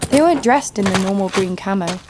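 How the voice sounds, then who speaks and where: A woman's voice: medium pitch, expressive, quick pace, noisy background, natural voice. A woman playing a tough, sardonic fighter who narrates her own story with dry humour in an audio drama. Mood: wry. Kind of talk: scripted first-person audio drama narration.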